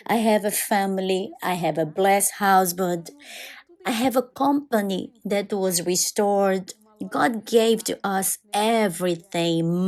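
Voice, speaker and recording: A woman voicing over a personal testimony in non-native English, speaking in short, deliberate phrases. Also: a little high, darkish tone. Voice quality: non-native English with halting, irregular grammar. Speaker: voice-over translator of a church testimony. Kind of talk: dubbed first-person testimony narration.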